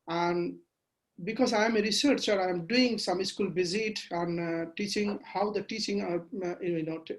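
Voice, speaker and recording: Man lecturing, many halting fillers. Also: high-pitched, low-quality recording. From Bangladesh. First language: Bengali. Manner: measured, halting with frequent fillers. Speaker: guest lecturer. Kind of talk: webinar lecture.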